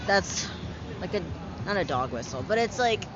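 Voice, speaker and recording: A young man's voice, pitched a touch higher than usual for a guy, talking in a loose, unfiltered way with plenty of "like" and "um." He's recorded outdoors on a city street, and the sound is muffled under steady traffic noise. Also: normal speed, a crowd in the background. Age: young adult. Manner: candid, chatty, frequent fillers and swearing. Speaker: interviewee. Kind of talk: casual street interview.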